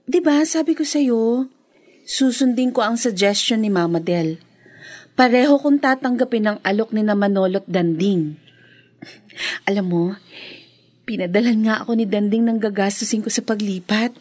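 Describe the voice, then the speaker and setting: A woman playing a scheming, sweet-talking young mistress in a Filipino radio drama, with a coaxing, expressive delivery. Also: medium pitch, normal speed, pauses often, close to an everyday voice. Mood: breezy, confident.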